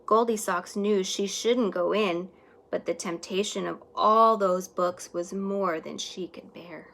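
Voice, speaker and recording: A woman teacher reading a children's story aloud expressively, stretching words and hushing some for emphasis. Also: mid-range voice, fairly even intonation, measured pace, gravelly.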